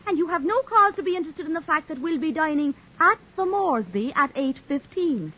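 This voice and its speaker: A tart, sharp-tongued young woman. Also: high-pitched, animated, lo-fi sound. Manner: brisk, tart, teasing. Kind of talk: 1940s radio drama acting.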